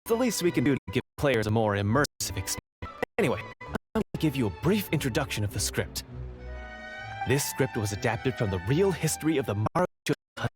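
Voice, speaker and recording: A man's voice: mid-range voice, sing-song, a little fast, over music, natural voice. A man voicing an affable, eager theatre script manager in an anime game, polite and explanatory with a theatrical streak. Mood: obliging.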